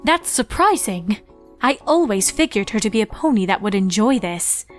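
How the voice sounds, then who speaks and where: A woman's voice: medium pitch, big pitch swings, moderate pace, soft background music, lightly acted. Woman as an assured, coy pony princess. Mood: encouraging. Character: confident, coy younger-sister pony princess, easily irritated. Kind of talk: comedic fan fiction voice acting.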